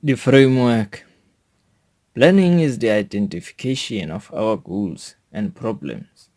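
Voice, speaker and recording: A South African man reading a scripted educational monologue in a deliberate, formal manner, as a podcast host. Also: lowish voice, animated, a little mellow.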